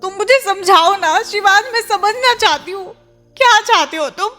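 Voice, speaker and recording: A high-pitched young wife in a radio play, wounded and insistent. She speaks with raw, confrontational intensity and a pleading edge. Soft music sits behind her. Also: normal speed. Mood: demanding, hurt. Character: hurt, insistent young wife, once widowed, pleading for love. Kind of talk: Hindi radio drama acting.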